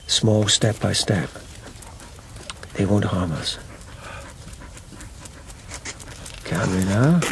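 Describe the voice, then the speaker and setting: Calm, gravelly loyal lieutenant. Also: male voice, fairly low pitch, background noise, heavily acted. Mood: hushed, careful. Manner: calm, deferential, careful. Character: loyal, level-headed policeman lieutenant in old Japan. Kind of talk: radio drama acting.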